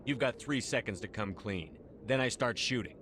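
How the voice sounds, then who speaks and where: An actor playing a tough, blunt military commander in a video game. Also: male voice, mid-range voice, normal speed, a little hiss or hum, lightly acted. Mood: threatening. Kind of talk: video game hero acting.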